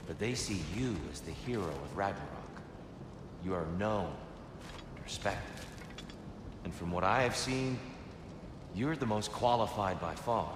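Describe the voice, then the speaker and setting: A man playing a wise, patient ancient god who mentors a warrior in a video game, speaking in a measured, formal way. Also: deep voice, expressive, noisy background. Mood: solemn, earnest. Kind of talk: dramatic video game acting. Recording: echoey long-reverb hall, loud music behind.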